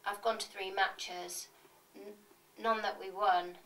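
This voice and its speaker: In a very echoey space, away from the mic, a woman reads a script. Her voice crackles with fry and stays flat, giving an awkward, deadpan feel. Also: medium pitch, slow. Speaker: reader of fans' confessions. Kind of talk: reading a scripted monologue of fan confessions. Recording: echoey room, distant mic, faint noise.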